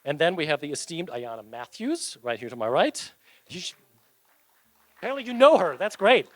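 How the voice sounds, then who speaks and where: A man's voice: a little high, sing-song, moderate pace, fairly bright tone, no room sound. A man moderating a live panel discussion at an event, upbeat and loose, joking with the audience between questions.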